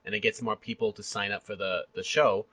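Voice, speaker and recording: A man hosting a casual fan chat, with a gravelly edge to his bright, forward voice. He talks in an animated, rambling way, and the sound is close and dry. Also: on the low side, a little fast, no echo. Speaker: podcast interviewer.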